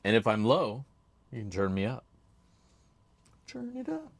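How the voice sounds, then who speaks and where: A chatty man hosts his own livestream from a home setup, reading out names from the chat. His voice is loud and sits fairly low, but it rises and falls a lot. The desk mic sounds close and dry. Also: huge pitch swings, a little slow, creaky, no echo. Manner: chatty, laid-back, reads chat names aloud. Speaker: livestream host. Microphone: desk microphone.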